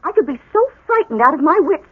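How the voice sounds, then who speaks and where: An American actress playing a fiery, protective small-town schoolteacher wife in a radio drama, emphatic and scolding. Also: female voice, high voice, sing-song, quick pace. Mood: coolly threatening. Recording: thin lo-fi old radio broadcast.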